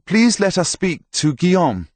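A man voicing an earnest, courteous medieval priest in an audiobook, formal and measured as he entreats. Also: fairly high pitch, expressive, dry recording, voice pushed up. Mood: courteous, entreating.

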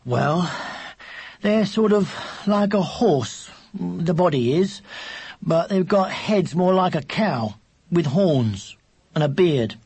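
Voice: medium pitch, lively intonation, takes it slowly, a little mellow, husky, pitched above the performer's own voice, heavily acted. A narrator voicing a bossy, tired older brother, a boy who explains things with impatient effort in a children's story. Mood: weary, explaining. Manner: sighing, impatient, laboured explaining.

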